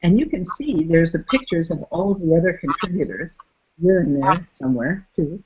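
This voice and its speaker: A woman's voice: fairly low pitch, animated, moderate pace, darkish tone, breathy edge, muffled recording. A woman from the United States, a facilitator being interviewed, speaking thoughtfully and searching for words as she goes. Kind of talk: reflective interview talk.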